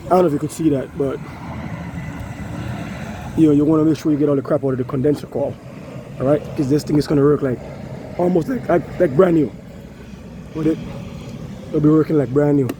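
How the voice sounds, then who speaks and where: A hoarse man who has lost his voice, casually explaining an air conditioner cleaning while he works outdoors. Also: on the high side, fairly even intonation, brisk, long pauses, background noise. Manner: loose, repetitive, talks while working. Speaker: HVAC technician. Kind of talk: casual how-to demonstration.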